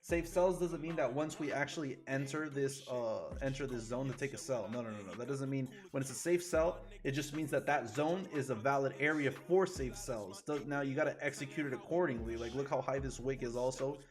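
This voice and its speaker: A man from Chile, a forex trader chatting casually and excitably with his live viewers, swearing freely. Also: medium pitch, a little fast, few pauses. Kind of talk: casual livestream commentary. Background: faint music.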